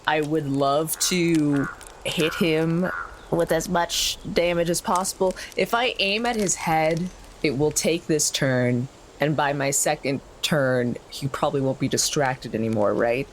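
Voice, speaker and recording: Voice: medium pitch, a little slow, a little hiss or hum. A tabletop role-playing player talking casually, thinking aloud through tactics.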